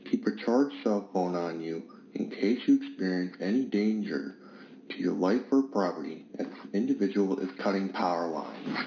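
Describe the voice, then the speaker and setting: A man's voice: deep voice, lively intonation, moderate pace, creaky, mellow. A man playing a sober county emergency chief, giving a formal, serious scripted public address. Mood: serious, cautioning. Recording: roomy, dull recording with faint noise.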